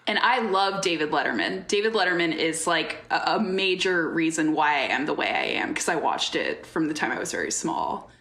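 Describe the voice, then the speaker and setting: In a roomy, echoing recording, a woman in her thirties chats with very animated intonation. She guest-hosts a podcast in a casual, wry way, given to playful exaggeration. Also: deep voice, normal speed, few pauses. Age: 30s. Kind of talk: casual, joking chat.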